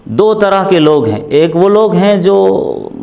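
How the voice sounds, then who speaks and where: Male interviewee, fairly high voice, explains deliberately like a preacher-teacher, restating key phrases. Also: animated, creaky, darkish tone. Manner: deliberate, repeats phrases for emphasis.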